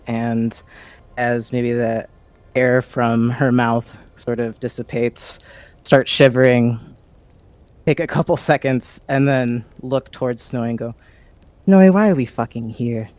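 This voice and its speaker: A tabletop game player role-playing in a casual, sweary way. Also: female voice, a bass voice, steady tone, takes it slowly, a little mellow, dry recording. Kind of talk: casual in-character role-play.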